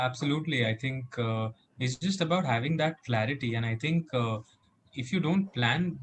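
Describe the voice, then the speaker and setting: A man's voice: medium pitch, low-quality recording. A man in his twenties with an Indian accent, offering casual advice as a student panelist. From Mumbai, India. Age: 20s. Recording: faint background noise.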